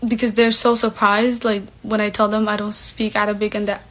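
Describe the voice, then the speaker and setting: A woman's voice: mid-range voice, level tone, brisk, fairly bright tone. A young woman of Somali heritage talking in a casual vlog monologue, chatty and full of "like" and "um", acting out conversations she has had. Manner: chatty, many "like" and "um" fillers, acts out quoted exchanges.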